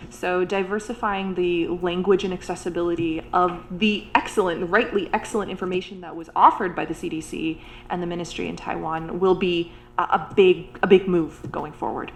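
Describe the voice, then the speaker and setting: Woman panelist, academic, lowish voice, earnest and halting, faint background noise. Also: fairly even intonation, creaky. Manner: earnest, many fillers and restarts. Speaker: university researcher and supervisor. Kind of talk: roundtable panel discussion.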